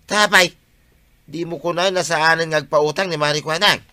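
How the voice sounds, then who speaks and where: A man's voice: fairly high pitch, steady tone, moderate pace, sharp tone. A man with an Ilocano accent acting a teasing village uncle in a comedy drama.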